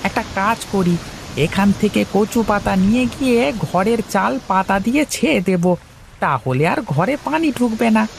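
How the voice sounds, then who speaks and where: A woman voicing a poor, kind-hearted working father in an animated moral tale, speaking earnestly and colloquially. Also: medium pitch, normal speed, creaky, slight background noise. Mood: hopeful, thinking aloud.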